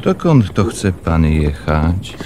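A man's voice: sits low, big pitch swings, slow, very noisy, heavily acted. A man playing a surly, stolid village sleigh driver in a radio drama, terse and grudging.

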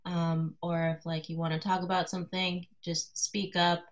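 Woman, 30s, from Colorado, lowish voice, soft-spoken, hesitant, lots of fillers, casual artist talk, video call mic. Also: little pitch movement, moderate pace. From Colorado, USA. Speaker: guest artist speaking to a class. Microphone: video call computer mic.

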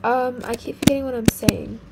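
Croaky teen girl playing a block game. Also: a little high, fairly even intonation, fairly quick, poor audio. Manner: chatty and easily distracted, exclaiming at finds. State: croaky voice from a cold. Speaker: gaming video host. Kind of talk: casual game commentary. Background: faint game music.

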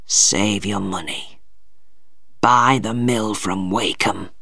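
Man voicing a proud, slow-spoken ailing father. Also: a little high, bright, forceful tone, dry recording, studio quality. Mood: weak, dying. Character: Mister Tulliver, proud hot-tempered father, ailing and weak. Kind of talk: audiobook character dialogue.

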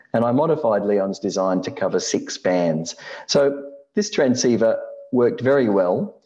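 An Australian man in his sixties narrating a slide presentation in a measured, explanatory way. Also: fairly low pitch, mellow, dull audio. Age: 60s. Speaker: amateur radio presenter.

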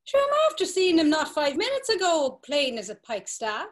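An actress with an Irish accent playing an excitable Dublin pub drinker, read over a video call. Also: female voice, sits high, moderate pace. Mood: astonished. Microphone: video call webcam.

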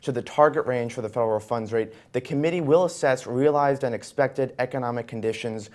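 A man's voice: mid-range voice, a little fast, darkish tone. A young American man working as a news host, interviewing guests in a broadcast financial style in a TV studio. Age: young adult. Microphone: lapel mic.

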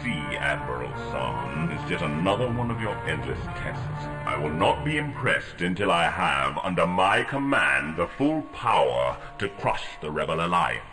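A menacing dark lord in a game cutscene. His voice is extremely low with a gravelly creak. He commands coldly and imperiously. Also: very animated, moderate pace, few pauses. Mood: stern impatience. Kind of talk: video game cutscene acting. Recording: loud music behind the voice.

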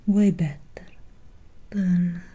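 A young woman playing a gentle, melancholy Victorian vampire doctor in a breathy, soothing ASMR whisper, close to the microphone. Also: on the low side, steady tone, heavily acted. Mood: gentle, reassuring. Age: young adult. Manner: slow, soothing, hushed. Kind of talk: soft-spoken ASMR medical roleplay.

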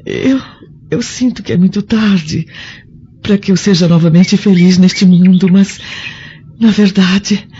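Woman as a gentle Roman noblewoman, muffled sound. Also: mid-range voice. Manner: tender, hesitant, reflective. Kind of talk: radio drama acting. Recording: dull sound, clear background noise.

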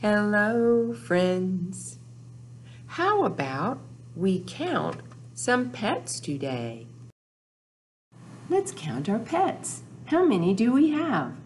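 An older woman hosts a storytime for young children with rhymes and counting. She speaks very slowly in a sing-song, repetitive way, with pitch that moves up and down a lot. There is some background noise behind her. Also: wildly swooping pitch. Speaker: children's librarian, storytime host. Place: small indoor room with whiteboard.

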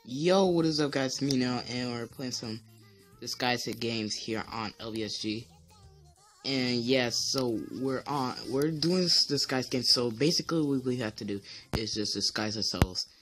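A teenage boy with a deep voice giving casual gameplay commentary as channel host, rambling with many fillers and restarts. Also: normal speed, fairly bright tone, a little hiss or hum.

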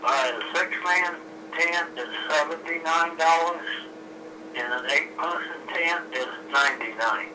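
A man's voice: fairly high pitch, unhurried. A man working in a store, taking a customer's phone call in a curt, matter-of-fact way. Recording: distant noisy speakerphone line.

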